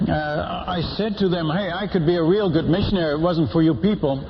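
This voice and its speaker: A man's voice: on the high side, background noise. Older man telling stories in a reverberant hall. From Netherlands. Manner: folksy, humorous, halting with restarts and fillers. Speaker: missionary. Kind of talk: storytelling talk to a church audience. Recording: muffled hall recording with long reverb.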